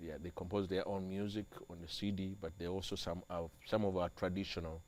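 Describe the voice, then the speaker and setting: A young man from Uganda, a church music director, speaking as an interview guest with halting, repeated phrases. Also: low voice, slight background noise. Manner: halting, repeats and restarts phrases, grows animated on liturgy. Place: TV studio.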